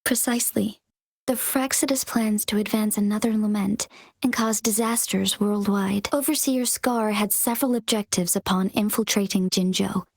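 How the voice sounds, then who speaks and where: An actress playing a composed young female leader in a video game cutscene, speaking calmly and formally in measured explanations. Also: mid-range voice, vocal fry, no room sound, clean studio sound. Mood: earnest.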